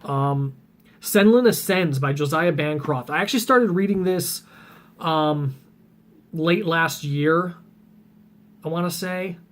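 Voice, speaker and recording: A man chatting casually about books he plans to read, rambling with lots of ums and restarts, his voice getting rough and scratchy. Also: a little high, slow pace, pauses often. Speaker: book vlogger.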